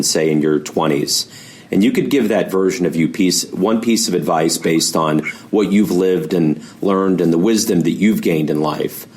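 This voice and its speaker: Low-voiced man hosting an interview. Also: darkish tone. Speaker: interview host. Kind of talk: conversational interviewing.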